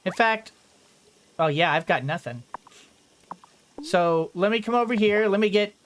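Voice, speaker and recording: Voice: medium pitch, lively intonation, measured pace, slight background noise. A game streamer giving casual commentary, rambling and thinking aloud while playing.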